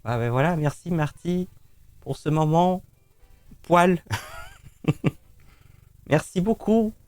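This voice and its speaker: A man's voice: fairly high pitch, lively intonation, slow, a little mellow, faint music behind. A man streaming live, chatting casually and rambling teasingly to his cat.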